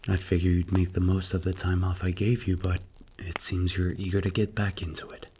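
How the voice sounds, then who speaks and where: A man playing a strict, commanding mafia boss in an ASMR roleplay, speaking in a stern, deliberate, clipped way. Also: low-pitched, a little mellow, a little hiss or hum. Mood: cool, approving.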